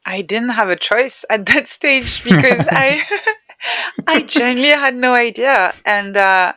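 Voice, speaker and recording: A woman's voice: fairly high pitch, animated, measured pace, pushed and bright, dry recording. A woman speaking as an interview guest, explaining and reflecting on personal experience in an articulate, conversational way. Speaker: podcast guest, author and neuroscientist.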